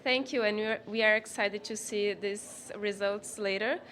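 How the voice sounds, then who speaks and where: A woman's voice: mid-range voice, steady tone, moderate pace, a bit bright. A woman moderating a conference session, introducing the next speaker in a polite, formal way. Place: conference hall.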